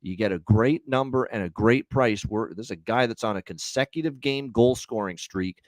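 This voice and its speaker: A man's voice: mid-range voice, normal speed, no echo. A man hosting a sports betting show, talking off the cuff in an emphatic way that repeats phrases for stress. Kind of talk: unscripted betting show commentary and promotion.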